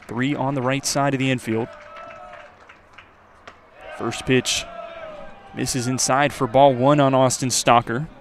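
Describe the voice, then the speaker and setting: A man giving live play-by-play baseball commentary for radio, his voice lifting on the action and settling between pitches. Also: a bit bright. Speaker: play-by-play announcer. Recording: clear outdoor ambient noise. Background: outdoor ballpark ambience.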